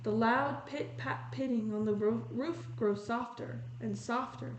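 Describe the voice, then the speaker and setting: A woman reading a picture book aloud in a measured, descriptive storytelling manner, pausing to comment on the illustrations. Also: a little high, muffled recording. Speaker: read-aloud host. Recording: roomy echo with faint noise.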